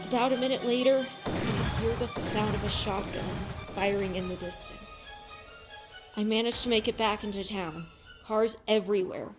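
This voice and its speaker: A woman reading as the shaken narrator of a horror tale, grave and measured. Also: a little high, lightly acted. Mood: urgent, tense. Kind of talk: dramatic horror story reading.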